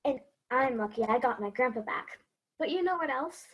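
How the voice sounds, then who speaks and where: A woman's voice: high-pitched, expressive, moderate pace, fairly bright tone, husky, heavily acted. A woman playing an earnest, hopeful little girl hero in a fairy-tale stage play. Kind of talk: stage play acting and storybook narration. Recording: dull, muffled lo-fi sound.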